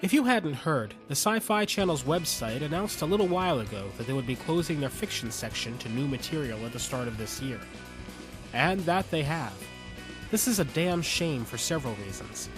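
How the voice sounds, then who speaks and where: Higher-pitched man narrating, with music behind. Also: lively intonation, normal speed. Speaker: podcast host and story reader. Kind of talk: scripted commentary and story narration.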